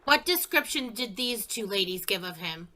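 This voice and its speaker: Radio-play police chief, firm and clipped, played by a woman, with a bright edge. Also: mid-range voice, expressive, normal speed. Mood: questioning. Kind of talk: community theater radio play.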